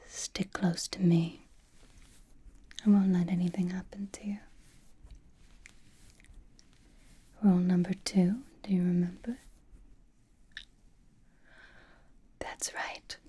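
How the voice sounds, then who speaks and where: A woman's voice: lowish voice, mellow. A woman voicing a possessive, flirtatious vampire in an intimate, teasing whispered ASMR roleplay, with dry exasperation. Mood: protective, firm. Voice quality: breathy whisper. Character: possessive, flirtatious vampire doting on captive vampire hunter.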